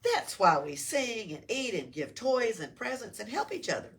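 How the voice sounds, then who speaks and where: Woman voicing an excitable little storybook lizard, animated. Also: a little high, normal speed, voice pushed up. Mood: excited. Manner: wide-eyed, exclaiming. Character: small excitable curious male Christmas lizard. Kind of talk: children's storybook read-aloud. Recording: distant mic with faint room noise.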